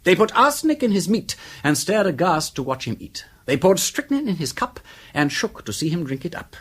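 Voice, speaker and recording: Casting: witty upper-class English gentleman sleuth for radio drama; hugely animated pitch, slightly husky, drawling mock courtesy. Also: a little fast, full character voice. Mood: wry, reflective. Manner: urbane, teasing, mock-polite, drawling. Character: flippant aristocratic gentleman detective, male, theatrical and witty.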